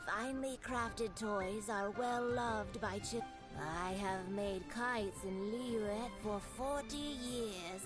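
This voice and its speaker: Woman playing a slow-spoken elderly craftswoman. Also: medium pitch, close to an everyday voice. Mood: proud, fond. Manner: unhurried, polite, reminiscing. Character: elderly female kite maker, courteous, proud artisan. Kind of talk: video game voice acting. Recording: music under the voice.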